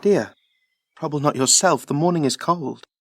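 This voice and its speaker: Earnest young lover, closely recorded. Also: male voice, a little high, normal speed, no echo. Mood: tender. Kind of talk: Shakespearean audio drama acting.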